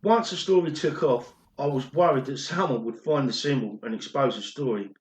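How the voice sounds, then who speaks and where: A man's voice: medium pitch, moderate pace, lightly acted. A man playing an older, regretful former pop drummer who confesses an old secret in an amateur radio play. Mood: reminiscing. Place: recorded alone at home, indoors. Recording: roomy home recording.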